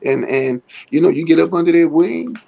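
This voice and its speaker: Raspy-voiced man, halting and thoughtful. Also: fairly high pitch, animated, mellow, highs cut off, soft background music. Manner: halting, many fillers and restarts. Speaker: martial arts teacher, interview guest. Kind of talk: casual conversational interview.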